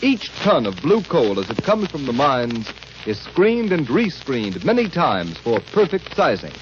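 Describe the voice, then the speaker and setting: A man delivering an emphatic, scripted sales pitch as a 1930s radio commercial announcer. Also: wildly swooping pitch, a little slow. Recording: muffled, noisy 1930s radio recording.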